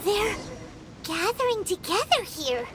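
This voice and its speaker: A woman voicing a small, childlike, curious floating fairy companion with a squeaky voice in a video game. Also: sing-song, slow, noisy background, full character voice. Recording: game music behind the voice.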